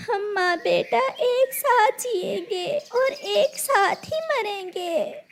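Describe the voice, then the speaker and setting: An extremely high, childlike voice pleads in distress. It is a woman acting a devoted little bird boy in a cartoon, slightly noisy in the recording. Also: lively intonation, slow, creaky, heavily acted. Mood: tearful, insistent. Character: devoted, clinging young bird son, distressed.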